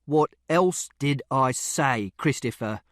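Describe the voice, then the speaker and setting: A man voicing an angry, exasperated, foul-mouthed father in an audiobook, speaking slowly and forcefully. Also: mid-range voice, expressive, no room sound, studio quality. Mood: loud anger. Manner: slow, forceful, swearing, swinging from quiet menace to loud.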